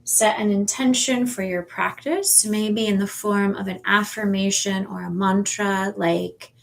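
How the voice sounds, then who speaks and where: A woman leading a guided meditation as a yoga teacher, speaking in a soothing, measured way with long pauses. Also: mid-range voice, steady tone, creaky. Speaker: yoga nidra and sound healing teacher.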